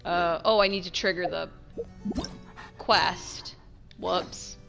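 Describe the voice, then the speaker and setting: A woman giving casual, chatty gameplay commentary as a streamer, expressive and trailing off into asides. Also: lowish voice, takes it slowly, creaky, over music. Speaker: game streamer.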